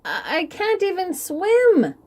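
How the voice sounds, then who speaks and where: Kind young man for a children's tale , female reader acting; sincere, courteous, animated intonation, unhurried. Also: extremely high pitch, pitched above the performer's own voice, exaggerated voice. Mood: alarmed. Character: humble, kind young man facing impossible tasks.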